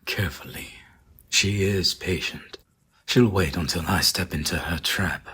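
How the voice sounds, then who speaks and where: A man's voice: measured pace, sharp tone, exaggerated voice. A man playing a grim, resolute beast hunter in a gothic audio drama, terse and guarded. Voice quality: low, very husky.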